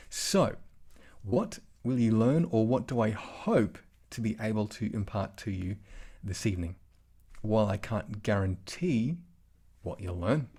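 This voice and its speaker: Aussie guy giving a tech talk on a video call, low gravelly voice, chatty with little jokes. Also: darkish tone. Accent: Australian. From Australia. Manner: chatty, self-deprecating asides. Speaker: conference speaker. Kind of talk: online tech talk presentation.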